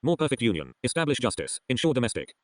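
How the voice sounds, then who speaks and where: Synthetic male text-to-speech reader, mid pitch, brisk delivery. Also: sing-song. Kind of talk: synthetic read-aloud.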